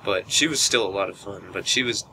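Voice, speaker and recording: A young man telling a casual anecdote to camera in an animated way, acting out what people said, recorded on a poor camera microphone. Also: fairly quick, gravelly. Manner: animated retelling, acts out quoted speech. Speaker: storytelling vlogger. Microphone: built-in camera microphone. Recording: noisy low-quality camera microphone.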